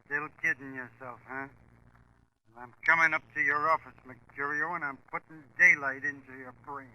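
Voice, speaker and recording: Sharp-edged, menacing 1940s radio gangster. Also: male voice, on the high side, steady tone, moderate pace, rough recording. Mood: mocking menace. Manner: menacing, sardonic, taunting. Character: cold, mocking male gang boss bent on killing. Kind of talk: 1940s radio crime drama acting. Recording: vintage 1940s radio broadcast.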